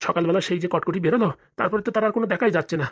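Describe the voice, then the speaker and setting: A man voicing a deferential, flattering household servant in a comic cartoon, with colloquial rustic speech. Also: high-pitched, racing. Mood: concerned.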